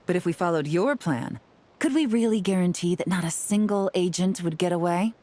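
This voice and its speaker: Woman playing a low-voiced, cool strategist. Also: warm tone, a little hiss or hum, natural voice. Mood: cool, firm. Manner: composed, measured, firm. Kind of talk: video game voice acting.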